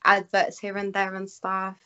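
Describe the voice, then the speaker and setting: A woman's voice: mid-range voice, completely flat delivery, a little slow, bright, forceful tone, clean tone. Chatty Venezuelan-born woman. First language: Spanish. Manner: chatty, lots of "like". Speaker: guest, actress and model. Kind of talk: casual interview chat. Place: small room at home. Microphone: video call. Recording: muffled video call audio.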